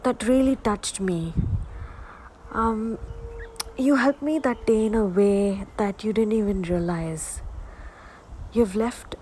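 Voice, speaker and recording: Woman talking gently and haltingly, lots of noise behind her. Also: medium pitch. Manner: tender, halting, heartfelt. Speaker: family friend giving a memorial tribute. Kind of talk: personal spoken tribute. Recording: loud background noise.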